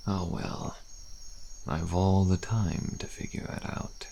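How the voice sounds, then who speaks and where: A man voicing a world-weary, bitter deserter soldier on the run in an audio roleplay, speaking in a gravelly, breathy murmur with halting, musing phrases. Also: deep voice, steady tone, mellow, a little hiss or hum, exaggerated voice. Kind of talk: audio roleplay, slow addressed monologue. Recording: faint outdoor night insect ambience.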